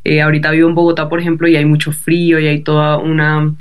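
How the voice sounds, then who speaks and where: A woman's voice: low-pitched, completely flat delivery, fast talker, smooth. A woman with a Caribbean Colombian accent, talking casually as an interview guest, explaining things discursively with frequent tag questions. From Barranquilla, Caribbean coast of Colombia. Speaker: guest novelist.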